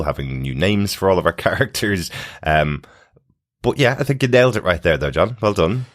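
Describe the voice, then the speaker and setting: A man co-hosting a podcast, talking conversationally through a television episode. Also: low-pitched. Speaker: podcast co-host. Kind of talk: TV recap podcast discussion.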